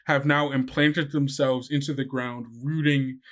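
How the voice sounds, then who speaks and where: A man's voice: mid-range voice, level tone, a little slow, a bit bright. Young man narrating as game master. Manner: casual, many fillers like "uh" and "like".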